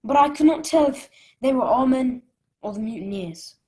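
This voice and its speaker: Woman playing an earnest high-voiced boy adventurer. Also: expressive, normal speed, mellow. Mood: earnest, suspenseful. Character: Jim, earnest young boy adventurer, telling his tale. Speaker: narrator and lead character. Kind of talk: audio drama storytelling.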